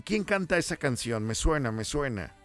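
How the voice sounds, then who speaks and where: A man's voice: medium pitch, lively intonation, normal speed, fairly bright tone, faint music behind. A Mexican man hosting a radio call-in show, teasing and affectionate with his guests. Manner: playful, teasing and affectionate with callers. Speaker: radio host. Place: radio studio.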